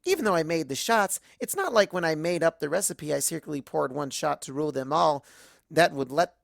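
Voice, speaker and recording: A woman's voice: deep voice, expressive, a little fast, vocal fry, dry recording, clean studio sound, full character voice. A woman voicing a bubbly, scatterbrained young cartoon pony who rambles earnestly like a child. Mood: upset, guilty. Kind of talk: first-person fan fiction narration in character.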